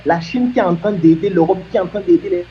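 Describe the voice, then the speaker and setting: A man's voice: high-pitched, quick pace, muffled recording, slight background noise. A man making an earnest, emphatic argument in a discussion, building his points with examples.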